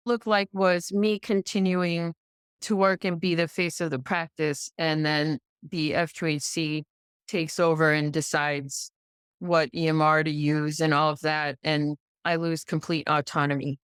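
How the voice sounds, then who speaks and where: A middle-aged American woman with a fairly low, clean voice recorded very dry. She speaks conversationally as an interview guest. She drives her points home with emphatic repetition and pointed questions. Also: little pitch movement, measured pace, clear, resonant tone, no echo. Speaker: podcast guest, pediatrician.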